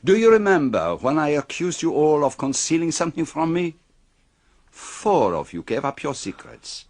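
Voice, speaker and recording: An actor playing a precise, theatrical detective in a radio mystery, speaking formally with dramatic pauses. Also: male voice, fairly high pitch, sing-song. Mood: probing, triumphant. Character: precise, theatrical male foreign detective revealing a murderer.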